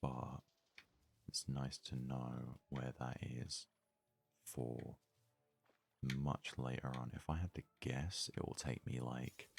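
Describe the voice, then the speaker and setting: A man giving casual ASMR let's play commentary in a very soft, hushed, breathy voice, murmuring his thoughts aloud without hurry. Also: extremely low pitch, little pitch movement. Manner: unhurried, murmured thinking aloud. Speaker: gaming video host.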